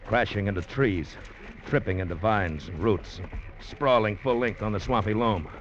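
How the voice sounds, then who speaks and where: An actor playing a grave, steady convict who narrates an adventure in measured, tense old radio style. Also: male voice, lowish voice, a little hiss or hum, lightly acted. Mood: grave, suspenseful. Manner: measured, tense, deliberate storytelling. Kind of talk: 1940s-50s radio drama narration and acting. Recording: muffled old radio broadcast, music behind.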